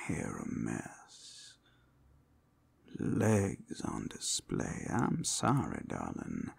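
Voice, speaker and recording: A man plays a flirtatious werewolf guide for an ASMR audio drama. He speaks low and unhurried, with swooping pitch. His manner is folksy, teasing and sardonic, and protective of his companion. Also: creaky, a little mellow, breathy edge, heavily acted.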